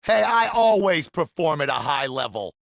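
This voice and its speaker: A man's voice: a little high, sing-song, moderate pace, vocal fry, very noisy. A man playing a brash, emphatic young pro wrestler in a video game cutscene. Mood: cocky. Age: young adult.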